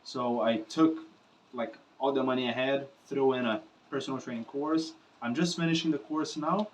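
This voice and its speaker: A young man with a Brazilian accent talks freely to his camera, drifting between thoughts and leaning on filler phrases. His voice sits in an ordinary middle pitch for a man. The mic is set back from him and picks up a faint wash of room ambience. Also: fairly even intonation, normal speed, recorded from a distance, slight background noise, rough recording. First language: Portuguese. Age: young adult. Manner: casual, rambling, with frequent filler phrases and swearing. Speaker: musician vlogger. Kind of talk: unscripted talk to camera.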